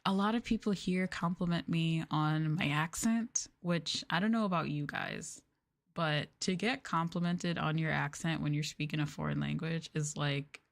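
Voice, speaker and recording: A woman's voice: sits low, moderate pace, creaky. A young woman with a Canadian accent chatting casually on a stream, rambling and giggly with lots of "like" and "um", often poking fun at herself. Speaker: VTuber streamer. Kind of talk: casual late-night chat stream.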